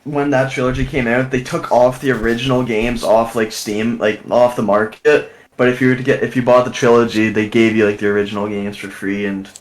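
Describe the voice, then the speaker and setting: A man's voice: medium pitch, flat delivery, fairly quick, hardly any pauses, rough recording. A young man chatting casually about video games, blunt and swearing freely. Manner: blunt, swears a lot, emphatic reactions. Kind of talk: casual gaming chat.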